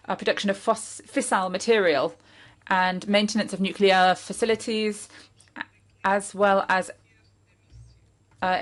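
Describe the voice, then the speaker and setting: A woman whose first language is French chairs a parliamentary hearing in English, formal and polite with the odd filler pause. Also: medium pitch. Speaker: committee chair.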